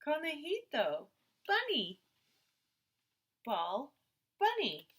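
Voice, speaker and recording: Woman voicing an excited, high-pitched toddler boy. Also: extremely slow, heavily acted. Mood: excited, insistent. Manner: short emphatic single-word exclamations. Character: excited little toddler boy learning words.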